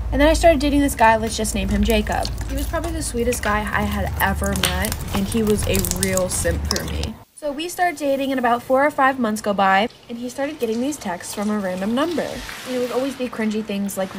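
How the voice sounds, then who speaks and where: A young adult woman narrating a casual storytime. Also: mid-range voice. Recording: clear background noise, crinkling sounds.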